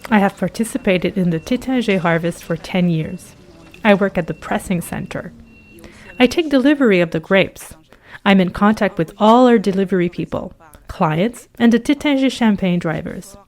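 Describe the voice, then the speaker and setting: A woman from Ukraine speaks interview answers as a voice-over. She explains things in a steady, matter-of-fact way at a mid pitch. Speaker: harvest worker at the pressing center.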